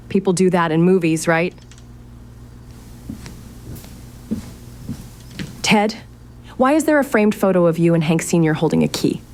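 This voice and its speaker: An actress plays a curious young woman in a mystery podcast drama, talking to herself with dry, exasperated sarcasm. Her voice sits a little low and sounds natural and conversational, like a private voice memo. There is noticeable noise behind her. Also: darkish tone, lightly acted. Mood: puzzled, searching. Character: sardonic, curious young woman investigating her late relative's mysterious house. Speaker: lead actor in an audio drama. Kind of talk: naturalistic fiction podcast acting, thinking aloud into voice memos.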